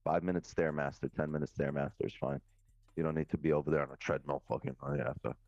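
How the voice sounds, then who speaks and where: Cocky male co-host, extremely low voice, dry dull lo-fi sound, lots of swearing. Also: steady tone, fast talker, vocal fry, a little mellow, no echo. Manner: brash, cocky, heavy swearing. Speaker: co-host on a live trading stream. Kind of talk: casual banter.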